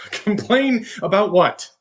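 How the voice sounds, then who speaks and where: A young husband played by a male student actor in a stage drama, controlling and insecure. His pitch swings widely as he belittles his wife. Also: expressive, takes it slowly. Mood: angry, incredulous. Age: young adult. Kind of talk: stage play dialogue.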